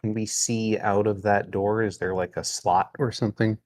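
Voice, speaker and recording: A man's voice: lowish voice, fairly even intonation, moderate pace, darkish tone. A man playing a tabletop roleplaying game, moving between in-character lines and casual table talk.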